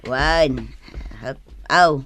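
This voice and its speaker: A woman's voice: low-pitched, very slow, a bit bright, a little hiss or hum, full character voice. A woman acting an indignant, exclaiming mother and shopper in a comic radio drama. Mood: businesslike. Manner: exclaiming, confrontational. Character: quarrelsome female customer and mother, indignant, scolding.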